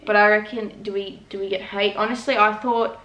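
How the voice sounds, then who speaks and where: Brief: young Aussie woman co-host, mid-pitched, relaxed rambling chat, roomy living room sound. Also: brisk, muffled recording. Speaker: vlogger co-host. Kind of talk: casual Q&A chat. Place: living room, on a couch indoors. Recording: echoey room.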